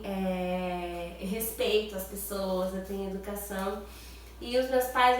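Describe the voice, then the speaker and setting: A woman's voice: a little high, a bit bright, slight background noise. A Brazilian woman vlogger with a nasal, stuffy voice from a cold, chatting casually to her viewers and often adding little tag questions. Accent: Brazilian Portuguese. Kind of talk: casual chat to camera. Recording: very echoey room, far from mic.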